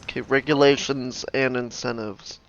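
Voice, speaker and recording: Male gamer, creaky voice, offhand dry remarks, casual commentary, slightly dull mic. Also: mid-range voice, steady tone, moderate pace, slight background noise. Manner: offhand, dryly judging. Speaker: gamer reacting to game dialogue. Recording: slightly dull mic, faint game music.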